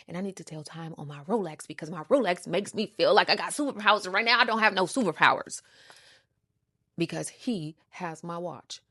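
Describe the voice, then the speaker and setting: A woman with an American accent telling a story casually, with a sassy, animated, emphatic and slangy delivery. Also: on the low side, vocal fry, husky, no room sound, clean studio sound. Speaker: storytime show host. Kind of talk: casual storytelling, acting out quoted conversations.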